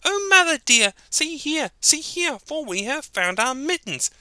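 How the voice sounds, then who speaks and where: A nursery-rhyme reader voicing three young kittens who whine and meow in high squeaky voices. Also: sing-song, measured pace, pushed and bright, no room sound, exaggerated voice. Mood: pleading. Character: three little kittens, young, whiny and meowing.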